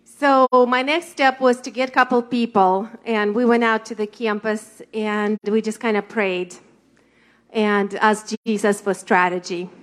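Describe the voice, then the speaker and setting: A woman with a Russian accent, a ministry leader sharing a testimony in conversational church talk, animated and storytelling. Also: medium pitch, unhurried. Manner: animated, laughing, storytelling with quoted thoughts.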